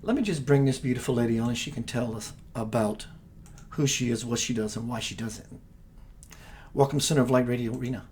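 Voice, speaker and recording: A man hosting a spiritual radio show, talking in a soft, meditative and rambling casual way. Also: medium pitch, fairly quick, slight background noise.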